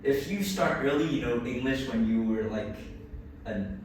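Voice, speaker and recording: Teen boy, Vietnamese accent, halting exam answers, booming echoey classroom, distant mic, noisy background. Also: a little mellow. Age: early teens. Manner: hesitant, with many restarts and fillers. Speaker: student taking a speaking test.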